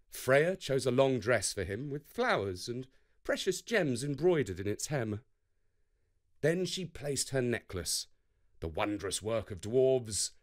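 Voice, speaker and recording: A man narrating a scripted children's story as a storyteller. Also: mid-range voice.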